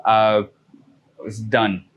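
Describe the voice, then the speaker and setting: A man's voice: on the low side, flat delivery, slow pace, fairly bright tone, breathy edge. A man from the North Shore of Massachusetts, a podcast guest telling a long, rambling story in casual chat, swearing freely and restarting his sentences. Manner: profane, rambling, loose with fillers and restarts.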